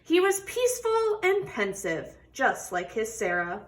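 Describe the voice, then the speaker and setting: A woman's voice: a little high, wildly swooping pitch, measured pace, a little hiss or hum. A woman reading a children's picture book aloud expressively, stretching words for emphasis and putting questions to young listeners. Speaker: read-aloud storybook reader.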